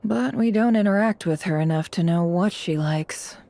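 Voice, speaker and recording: An actress playing a cool, shrewd, aloof woman in a video game, measured and dry. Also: lowish voice, mellow, no echo, close to an everyday voice. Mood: cool, unimpressed. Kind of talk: video game character acting.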